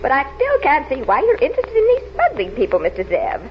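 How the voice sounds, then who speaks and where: A genteel, inquisitive aunt in an old radio serial. Her voice is high and swoops expressively, with proper, emphatic diction. The sound is dull vintage radio. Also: huge pitch swings, a little fast, a little hiss or hum. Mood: curious. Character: genteel, nervy, curious aunt in an adventure serial. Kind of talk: 1930s radio serial acting.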